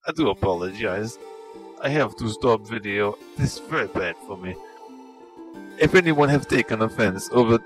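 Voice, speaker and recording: A man voicing a prim, bewildered male game streamer who stammers in halting broken English. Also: low-pitched, sharp tone, rough recording. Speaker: gameplay host. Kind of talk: improvised comedy gameplay commentary.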